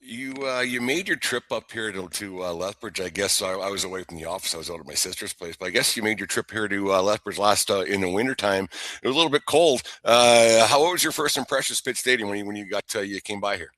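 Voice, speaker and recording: A man, probably middle-aged, hosting a casual local baseball interview in a folksy, halting, jokey way. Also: fairly low pitch, sharp tone, no room sound. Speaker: host of a local baseball show.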